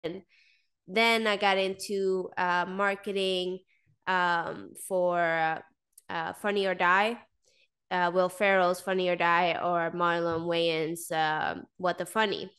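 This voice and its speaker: A woman's voice: fairly low pitch, level tone, slow, fairly bright tone, clean tone. A woman in her thirties, raised in Sweden, talking casually as a podcast guest over a video call, with lots of fillers and a desk microphone close by. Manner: chatty, many fillers and "like". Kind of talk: casual interview talk. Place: small indoor room, video call. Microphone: desk microphone in frame.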